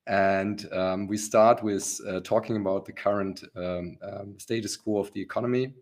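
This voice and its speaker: A hoarse man hosting a business webinar, talking with many pauses, ums and restarts. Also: fairly low pitch, steady tone, a little mellow. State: rough, hoarse voice. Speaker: webinar host, company founder.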